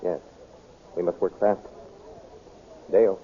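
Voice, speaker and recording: An actor playing a brave young space hero in a 1930s radio serial, firm and commanding. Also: male voice, deep voice, steady tone, slow pace, slight background noise. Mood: urgent. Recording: tinny, lo-fi 1930s radio transcription.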